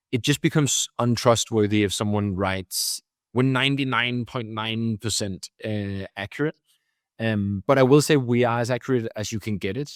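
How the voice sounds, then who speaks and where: A man's voice: medium pitch, dry recording, clean studio sound. A young man with a Danish accent, speaking as a guest in a conversational interview, with frequent hesitations and restarts. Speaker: guest, company founder.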